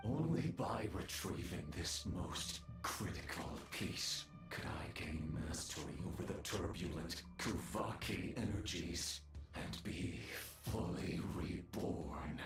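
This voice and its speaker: An actor playing a menacing undying villain in a video game, speaking in a grandiose, theatrical manner. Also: male voice, deep voice, animated, takes it slowly, reverberant, heavily acted. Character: menacing undying male villain, torn apart and reborn.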